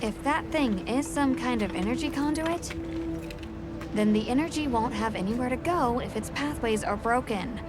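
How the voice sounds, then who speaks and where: A woman's voice: a little high, lively intonation, normal speed, close to an everyday voice. An actress playing a practical, bossy young woman on a hunting expedition in a video game, speaking directly and decisively. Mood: focused. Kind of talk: video game cutscene acting. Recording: loud music over the voice.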